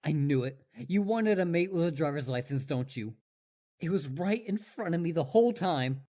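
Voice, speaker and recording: Casting: obsessive young wolf boy suitor played by a performer for audio roleplay; very lively intonation, eager, stammering, over-devoted. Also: medium pitch, moderate pace, close to an everyday voice. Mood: indignant, determined. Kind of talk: roleplay audio drama monologue to listener.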